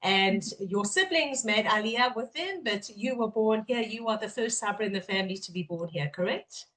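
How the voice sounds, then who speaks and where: A woman hosting a podcast talks with a guest in an easy, eager way, often stopping to rephrase her questions. Her pitch sits in the middle range for a woman. She sounds like a regular host. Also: animated, normal speed, fairly bright tone, low-quality recording. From Israel-based, English-speaking. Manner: chatty, enthusiastic, restarts often. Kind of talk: warm interview chat.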